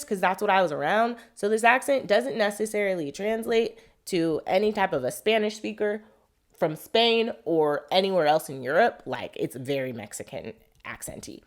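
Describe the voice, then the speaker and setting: A young adult woman from Southern California with a Southern Californian accent, tutoring test prep in a loose, conversational way with lots of "like". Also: medium pitch. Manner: conversational, uses "like" and acts out questions to herself. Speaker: MCAT tutor. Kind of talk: unscripted teaching monologue.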